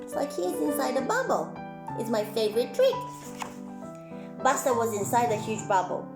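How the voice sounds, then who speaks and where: A high-voiced woman tells a children's story in a roomy, echoing space with faint music behind her. She reads expressively, like a children's entertainer, and slips easily into chatty asides to her young audience. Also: big pitch swings, moderate pace. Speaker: children's storyteller presenting as a clown.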